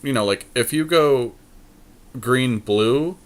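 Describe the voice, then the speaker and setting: A man's voice: mid-range voice, slow. A man chatting casually as a podcast co-host, weighing card game choices as he thinks aloud. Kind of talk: casual chat while playing a card game draft.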